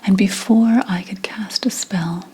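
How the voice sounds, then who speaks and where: A woman voicing a 17th-century witch who tells of her coven in a breathy ASMR whisper, hushed and deliberate. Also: medium pitch, a little hiss or hum, lightly acted, low-quality recording. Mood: ominous, hushed. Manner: slow, hushed, deliberate storytelling. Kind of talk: whispered ASMR roleplay storytelling.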